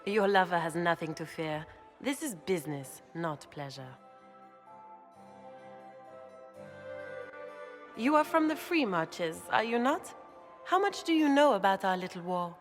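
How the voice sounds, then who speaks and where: Poised, low-voiced scheming French-accented duchess. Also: female voice, very animated, faint music behind. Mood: courtly, probing. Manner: formal, measured, insinuating courtly speech. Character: poised, scheming aristocratic grand duchess, courtly and guarded. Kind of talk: video game character acting.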